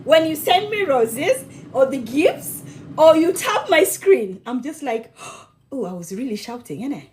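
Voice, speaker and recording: A woman's voice: high voice, very animated, measured pace, darkish tone, slight background noise, low-quality recording. A woman from Kenya hosting a casual cooking livestream, bubbly and affectionate, laughing often and teasing her viewers.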